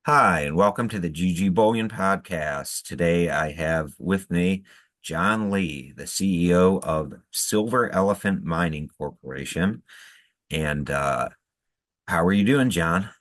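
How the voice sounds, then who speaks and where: Deep-voiced male podcast host, dry sound. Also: a little slow, a bit bright, dry recording. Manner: loosely phrased questions with fillers. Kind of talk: casual interview hosting.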